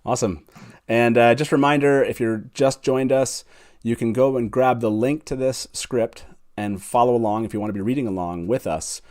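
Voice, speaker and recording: A man's voice: mid-range voice, normal speed, a little mellow. A man hosting an online fan show in a casual, chatty style.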